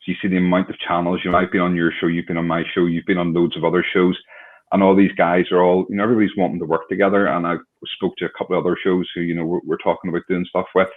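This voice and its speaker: Deep-voiced male host on a tinny line. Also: flat delivery, racing. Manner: friendly, rambling, restarts often. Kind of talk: casual chat.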